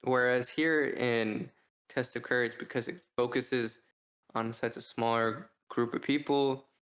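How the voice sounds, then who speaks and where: A man co-hosting a podcast, talking through a book review in a casual, rambling, halting way as he thinks out loud. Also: medium pitch, fairly even intonation. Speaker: podcast co-host.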